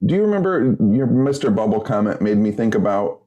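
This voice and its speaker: A man's voice: lowish voice, very animated, a little fast, dark tone. A man in his fifties co-hosting a podcast, rambling through memories in hesitant casual talk full of "like". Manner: rambling, nostalgic and hesitant, lots of "like". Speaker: podcast co-host. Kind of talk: casual banter.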